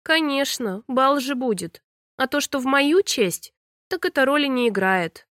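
A young, mischievous mermaid princess with a high, bright, wheedling voice, as a woman narrator puts her on. She pouts and pleads with a father in a close, dry sound. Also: animated, no room sound. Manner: bright, quick, wheedling, pouty.

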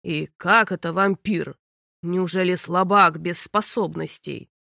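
A blustering sea king with a deep, slow, booming scold, as a woman narrator puts him on. He drags out his words like a stern storybook father. The voice sits close and dry. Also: fairly even intonation, creaky, sharp tone, no room sound, heavily acted. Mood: angry scolding.

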